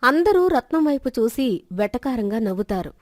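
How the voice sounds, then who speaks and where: A woman's voice: on the high side, lively intonation, a little fast, no room sound, studio quality. A woman narrator reading a scripted moral story as a storyteller.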